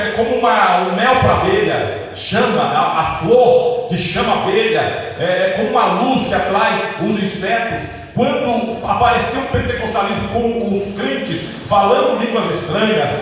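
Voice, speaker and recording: A high-pitched man with a Brazilian accent teaches his congregation, tossing in quick check-ins and stumbling restarts. He sounds distant from the microphone, with long, booming reverb from a big hall and a faint hiss behind him. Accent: Brazilian Portuguese. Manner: repeats "tá?" to check with listeners, many restarts. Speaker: preacher. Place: large church hall. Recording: echoey church hall from far off, light noise.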